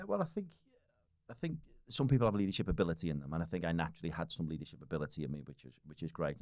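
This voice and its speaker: A man's voice: deep voice, darkish tone, dry recording, studio quality. A man in his forties with a Liverpool accent, a podcast guest talking frankly and casually, with lots of fillers and restarts. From Liverpool, England. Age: 40s. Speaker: guest, leadership coach.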